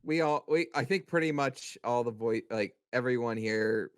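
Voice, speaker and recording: A young man chatting casually on a podcast, talking haltingly and repeating his words as he goes. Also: medium pitch, dry recording, studio quality. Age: young adult. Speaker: podcast member, amateur voice actor. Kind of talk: casual chat.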